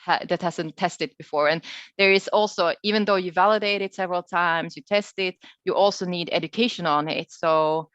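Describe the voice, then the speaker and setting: A woman researcher with a fairly low voice explains her points in an online Q&A. She speaks haltingly, repeating words as she searches for the next one. The video call sound is dry and somewhat dull. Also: fairly even intonation, fairly bright tone, no echo. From Sweden. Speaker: guest researcher and speaker answering questions. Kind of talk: webinar Q&A, unscripted explanation.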